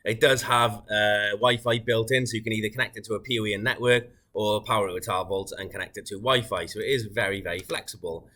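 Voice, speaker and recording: A man giving an informal, methodical, unscripted software walkthrough as a product demonstrator. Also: on the low side, fairly even intonation, fast talker, few pauses.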